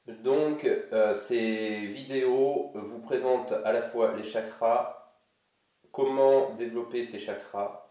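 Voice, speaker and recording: A man with a cold, an energy healer giving a casual instructional video in a slow, halting way. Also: medium pitch, fairly even intonation, mellow, recorded from a distance. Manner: slow, halting, with many pauses. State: has a cold. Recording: roomy echo, away from mic.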